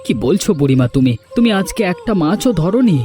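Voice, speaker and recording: A man's voice: high voice, big pitch swings, fairly quick, warm tone, faint music behind. A man voicing a concerned, chatty village husband in a fairy tale cartoon, speaking in an exclamatory way. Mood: dismayed.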